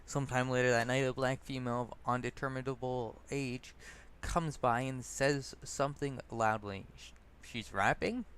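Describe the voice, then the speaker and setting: A man's voice: medium pitch, fairly even intonation, normal speed, hardly any pauses, a bit bright, clean tone. A man reading his own personal essay aloud as narrator, stumbling over words and correcting himself. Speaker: narrator and author.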